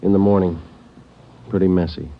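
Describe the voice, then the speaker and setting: An actor playing a stoic, businesslike police detective, terse and deadpan, in old-time radio style. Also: male voice, low-pitched, moderate pace, vocal fry, noisy background. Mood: matter-of-fact. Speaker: lead actor and narrator of a radio crime drama. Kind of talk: 1940s-50s radio acting, clipped narration. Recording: muffled old radio broadcast, organ music.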